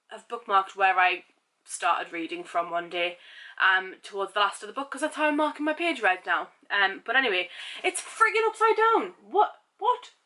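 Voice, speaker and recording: A woman's voice: on the high side, pushed and bright. A young woman chatting casually about books she has read lately, sounding nasal and sniffly from a cold, rambling with self-mocking asides. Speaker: book vlogger. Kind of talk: casual book wrap-up chat.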